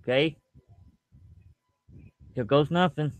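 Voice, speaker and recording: A man's voice: on the high side, slow pace, no room sound. A man chatting casually to online viewers in a hesitant way, repeating himself as he goes. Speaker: amateur singer and fan fiction reader.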